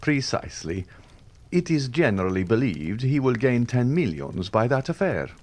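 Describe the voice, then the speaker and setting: A man's voice: medium pitch, sing-song, moderate pace, a little mellow, pitched above the performer's own voice, lightly acted. A man doing the Count of Monte Cristo, suave and ironic. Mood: courteous, ironic. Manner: half-jesting, smoothly courteous. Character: suave, coolly ironic older nobleman, male.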